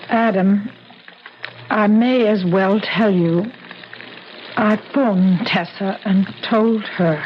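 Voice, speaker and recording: A concerned, firm caregiver in an old radio drama. She speaks steadily and with gentle reproof at a middling pitch. The vintage recording is dull, with a faint hiss behind her. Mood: frank, careful. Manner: measured, steady, gently admonishing. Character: concerned, firm woman nursing a sick relative.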